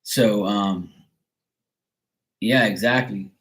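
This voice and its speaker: Man from Bermuda, stream host, loose chatty rambler, a touch low in pitch. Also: very slow, a bit bright. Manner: rambling, chatty storytelling with frequent fillers. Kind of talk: casual chat.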